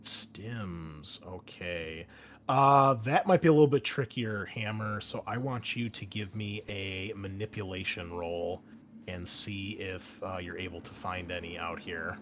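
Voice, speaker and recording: A man's voice: sits low, unhurried, a bit bright, slight background noise. A man explaining tabletop game rules casually as game master, thinking aloud as he goes. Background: background music.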